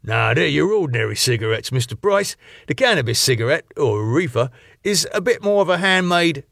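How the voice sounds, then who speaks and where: A man's voice: fairly high pitch, big pitch swings, a little fast, creaky, fairly bright tone, dry recording, clean studio sound, voice pushed up, exaggerated voice. A man playing a matter-of-fact doorman. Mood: explaining.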